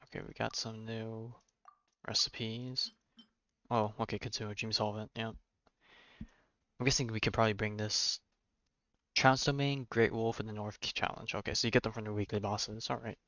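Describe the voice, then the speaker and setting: A man's voice: fairly low pitch, steady tone, moderate pace, sharp tone, muffled recording. A man livestreaming a game with casual, informal commentary, thinking aloud and reading on-screen text.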